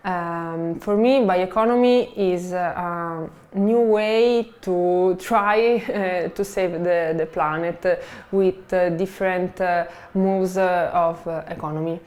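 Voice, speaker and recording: A woman's voice: lowish voice, fairly even intonation, takes it slowly, a little mellow. A woman from Italy giving a hesitant interview answer full of fillers.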